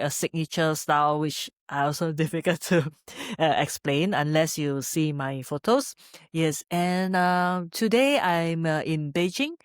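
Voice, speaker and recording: A middle-aged woman with a Singaporean accent, talking about herself as an interview guest, haltingly and often searching for her words. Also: sits low, clear, resonant tone, dry recording, studio quality. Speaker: podcast guest, street photographer. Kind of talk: conversational interview, personal storytelling. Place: indoors in a gallery.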